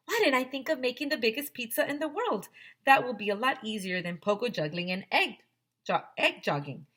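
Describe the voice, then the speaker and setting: A woman's voice: on the high side, lively intonation, a little fast, pushed and bright, voice pushed up. A woman voicing an eager, commanding grown man in a children's storybook.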